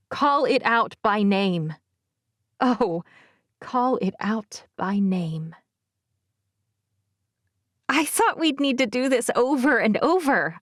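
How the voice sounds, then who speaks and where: Female audiobook narrator for first-person fiction. Unhurried, expressive read, dry sound. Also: medium pitch, no echo.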